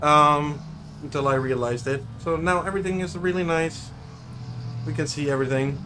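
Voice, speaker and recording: A man's voice: on the high side, moderate pace, background noise. A man with a Dutch accent giving chatty live commentary on a sim racing qualifying session, often correcting himself and unsure how to say drivers' names. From Netherlands. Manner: chatty, self-correcting, unsure of name pronunciations. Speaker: race commentator.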